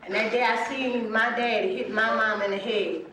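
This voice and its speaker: A woman's voice: fairly high pitch, steady tone, moderate pace, a bit bright. A woman from New Orleans telling a personal story to a group, plain-spoken and colloquial. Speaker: participant sharing with a group. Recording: very echoey room, distant, clear noise.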